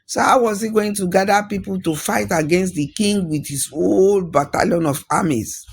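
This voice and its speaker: A man's voice: high-pitched, moderate pace, gravelly, husky. A man narrating a folktale as a storyteller, dramatic, repeating words for emphasis.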